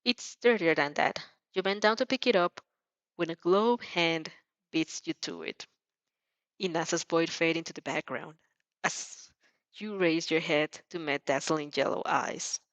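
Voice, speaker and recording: A woman narrating fan fiction in the second person, expressive and dramatic, now and then stumbling and rereading a line. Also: low voice, measured pace, no echo. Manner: expressive, stumbles over words and rereads lines. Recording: dull, muffled lo-fi sound.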